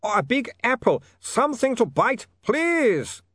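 A man's voice: extremely high pitch, big pitch swings, measured pace, fairly bright tone, dry recording, studio quality, voice pushed up, exaggerated voice. A man reading a story aloud and voicing a nervous male vampire inventor with a foreign accent, pleading and trembling. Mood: desperate.

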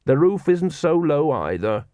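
A man's voice: a little high, big pitch swings, mellow, dry recording, dull audio, pitched above the performer's own voice. A man voicing a worried old male dwarf king who hesitates and fumbles for words. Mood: hesitant. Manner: hesitant, halting with ums.

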